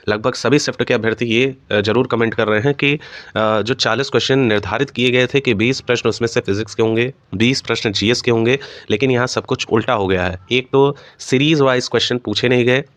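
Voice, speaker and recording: A man's voice: medium pitch, fairly bright tone, no room sound. A man hosting an informal exam news update, explaining things to students and mixing in English terms. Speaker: host of an exam news channel.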